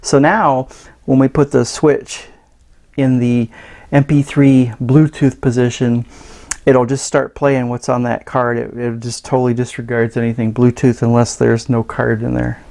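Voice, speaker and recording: A man reviewing a radio gadget in a casual, halting, understated hands-on demo. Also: medium pitch, little pitch movement, creaky, a little mellow.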